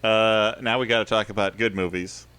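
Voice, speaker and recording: A man's voice: lowish voice, fairly even intonation, moderate pace, sharp tone, clean tone. A man co-hosting a comedy podcast in casual chat, rambling and self-deprecating, often laughing at himself. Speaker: podcast co-host.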